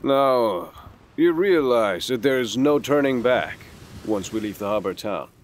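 A man playing a weary, conscientious assassin in an audio drama, speaking gravely and tersely. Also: mid-range voice, lively intonation, a little slow, slight background noise, natural voice. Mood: exasperated. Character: brooding, conscientious male assassin, weary and sober.

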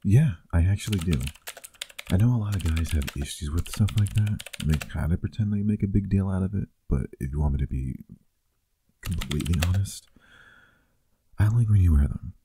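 A man's voice: sits low, fairly quick, background noise. A man playing a teasing, affectionate boyfriend in an intimate, casual ASMR roleplay, murmuring playfully. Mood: tender.

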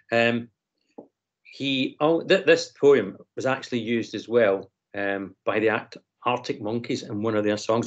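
A man teaching an online class, leading a discussion with many ums and restarts. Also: mid-range voice. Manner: hesitant, frequent ums and restarts. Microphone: video call. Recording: slightly dull video call audio.

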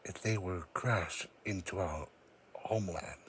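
A man voicing a brooding, proud cloned psychic creature in an audio roleplay, speaking solemnly with dramatic, deliberate pauses. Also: low-pitched, a bit bright, a little hiss or hum. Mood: guarded. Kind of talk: scripted ASMR audio roleplay monologue.

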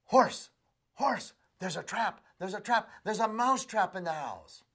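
Man doing a scared little storybook mouse, squeaky, stammering, high and bright, breathy. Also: expressive, a little slow, exaggerated voice. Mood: urgent. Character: frightened little male mouse.

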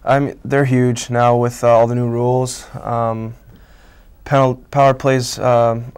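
Here is a young Canadian man in his twenties, answering questions in a relaxed way in a small local TV studio. His voice is smooth and clear but stays flat and level, with frequent "um"s and "I mean"s, picked up by a lapel mic. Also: medium pitch, unhurried. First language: Italian. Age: 20s. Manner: relaxed, many fillers like um and I mean. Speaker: college hockey player guest. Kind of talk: casual interview answers.

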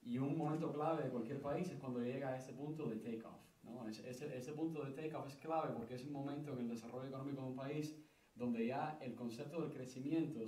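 A young man speaks at a conference panel table and answers a question at length, stumbling over fillers and restarting his phrases. His voice sits at an ordinary pitch. It carries the hollow ring of the room and sounds a little distant from the microphone. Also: dark tone. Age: young adult. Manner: hesitant, many fillers and restarts. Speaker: book co-author on a panel. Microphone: table stand mic. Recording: roomy, distant from the mic.

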